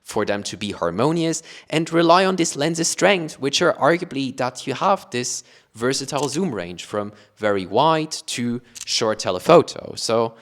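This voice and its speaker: Young Luxembourgish man, international English accent, mid pitch with very animated swings, methodical explainer. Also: moderate pace. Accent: international mix, Luxembourgish with British-taught English. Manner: explanatory, rephrases with "let's say" and "additionally". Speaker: photography YouTuber. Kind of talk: talking to camera about gear.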